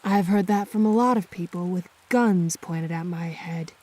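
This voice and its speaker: A woman playing a bitter, guarded werewolf on the run in a radio drama, blunt and confrontational in a husky voice. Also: fairly low pitch, moderate pace, mellow, natural voice.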